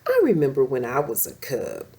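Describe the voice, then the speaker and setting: Storybook father lion, read by a woman: grown male, amused, easygoing, pitched low, softly mellow. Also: huge pitch swings, moderate pace, heavily acted. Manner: chuckling good humour.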